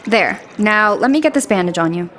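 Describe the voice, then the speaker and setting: An actress playing a frank, caring but guarded young village woman in a fantasy audio drama, brisk and naturalistic in delivery. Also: medium pitch, lively intonation, a bit bright, a little hiss or hum, close to an everyday voice.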